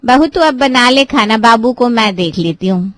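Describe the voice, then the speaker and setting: A woman's voice: on the high side, lively intonation, normal speed, dry recording, studio quality, close to an everyday voice. A woman voicing a helpful middle-aged aunt-in-law in a family moral-story cartoon. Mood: caring.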